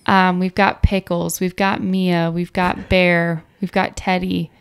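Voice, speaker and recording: A woman's voice: fairly low pitch, flat delivery, slow pace, creaky, clear, resonant tone. A woman in her thirties co-hosting a podcast, giving casual, conversational advice close to a tabletop microphone. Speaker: podcast co-host. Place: small indoor room at a table. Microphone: tabletop podcast microphone on stand.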